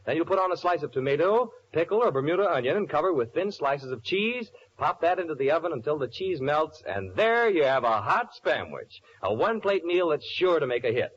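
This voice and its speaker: A man's voice: a little high, sing-song, brisk, few pauses, slightly rough. A man reading a sponsor advertisement as a 1940s radio announcer. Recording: muffled, slightly hissy old radio broadcast.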